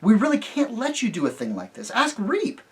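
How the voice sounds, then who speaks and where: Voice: medium pitch, wildly swooping pitch, moderate pace, slightly rough, voice pushed up, full character voice. A reader voicing a protective schoolboy who speaks firmly and reasonably.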